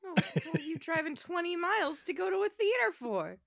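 A woman co-hosting a podcast who is blunt and expressive and swears freely. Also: very high-pitched, brisk, gravelly. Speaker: podcast co-host. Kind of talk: casual chat.

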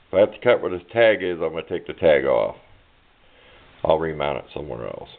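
A plainspoken, rambling man giving an informal hands-on walkthrough of a DIY project, recorded indoors on a handheld camera mic. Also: rumbling low. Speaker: DIY electronics hobbyist and presenter. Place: indoors at a home workbench. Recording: muffled, narrow phone-like camera audio.

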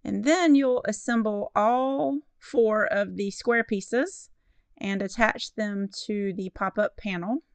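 A woman's voice: medium pitch, slow pace, creaky, dry recording. A woman narrating a step-by-step card-making tutorial in a friendly, encouraging, instructive way. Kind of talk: step-by-step craft tutorial voice-over.

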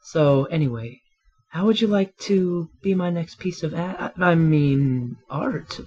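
A man's voice: a little high, lively intonation, slow pace, darkish tone, husky, lo-fi sound, full character voice. A man voicing a vain, lecherous artist, smarmy and awkward, in a comedic anime parody dub. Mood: oily, flirtatious.

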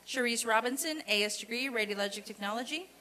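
Woman reading grad names at a ceremony, highish bright voice, formal deliberate delivery. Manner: deliberate, repetitive announcing cadence. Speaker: commencement name reader. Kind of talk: formal reading of a graduate roll. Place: outdoor college commencement ceremony.

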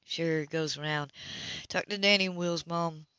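A woman's voice: low voice, steady tone, normal speed, sharp tone, clear, resonant tone, dry recording. Woman reading as a frank boy, slightly muffled. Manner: frank, slangy, matter-of-fact. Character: frank, streetwise, guarded young boy in novel. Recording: dull lo-fi home recording.

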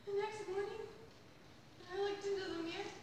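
A young woman playing a defiant, wounded wife in a theatrical stage monologue, emphatic and dramatic with weighted pauses. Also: extremely high pitch, steady tone. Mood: confessional. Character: defiant, wounded wife breaking free of her husband. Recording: echoey hall from far off, faint noise.

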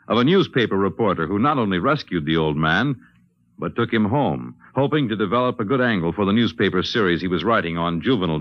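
Low-voiced 1950s radio announcer. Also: male voice, no echo. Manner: smooth, persuasive promo delivery. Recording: dry, dull old radio recording.

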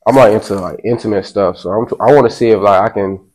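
A man's voice: fairly low pitch, fairly even intonation, fast, darkish tone. A man of twenty chatting casually on a blind date show, laid-back and hesitant with many fillers. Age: 20s. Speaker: dating show contestant. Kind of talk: casual chat.

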